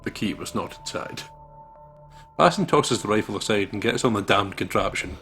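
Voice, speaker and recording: Male let's player: reads game prose aloud casually, plenty of vocal fry, soft music underneath. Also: lowish voice, lively intonation, moderate pace. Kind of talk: reading visual novel narration aloud with casual reactions.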